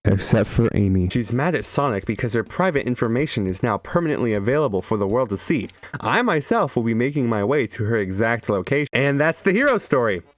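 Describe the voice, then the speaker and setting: A man's voice: medium pitch, very animated, brisk, dry recording, faint music behind. A young man giving joking, irreverent video game commentary in a sarcastic, sweary way.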